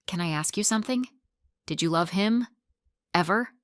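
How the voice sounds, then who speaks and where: A woman reading the lines of an earnest young woman, asking soft, earnest questions. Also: on the low side, normal speed, no room sound, studio quality, lightly acted.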